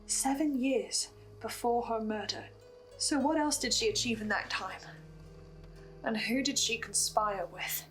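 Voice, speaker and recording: A woman's voice: on the high side, fairly bright tone, low-quality recording. A woman narrating a scripted recap as the host of an analysis video, with an earnest, investigative manner. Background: music bed.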